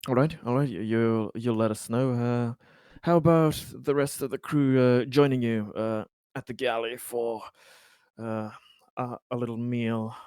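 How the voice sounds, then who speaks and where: A man with a smooth, mid-pitched voice speaks in character as a tabletop game player. He is polite and formal but halting, recorded close and dry. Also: steady tone, darkish tone, dry recording. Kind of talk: in-character improvised conversation.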